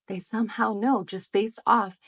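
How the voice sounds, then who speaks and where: A woman speaks to her viewers in a friendly, explanatory tone. She often poses rhetorical questions in a voice of average pitch, as in a scripted vlog. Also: fairly even intonation, normal speed, a bit bright, slightly rough. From United States. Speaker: vlogger. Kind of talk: scripted talk to camera.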